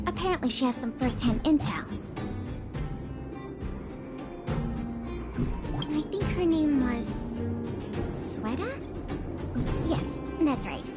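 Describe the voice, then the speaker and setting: A woman playing an earnest, polite young investigator in a video game, composed and businesslike. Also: high voice. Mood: thoughtful.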